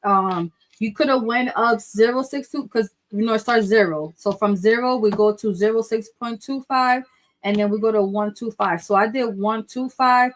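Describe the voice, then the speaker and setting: A woman's voice: a little high, normal speed, rough recording. Chatty nervous woman doing a craft livestream, lots of y'all, a bit of spanish. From Dominican Republic. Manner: chatty and excitable, says y'all a lot, mixes in some Spanish. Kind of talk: live craft tutorial with chat shout-outs.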